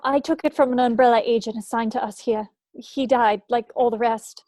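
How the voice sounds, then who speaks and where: A woman's voice: on the high side, fairly even intonation, moderate pace, a little mellow, close to an everyday voice. A woman reading the part of a guilt-ridden, defiant woman scientist at a script table read. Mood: grave, urgent.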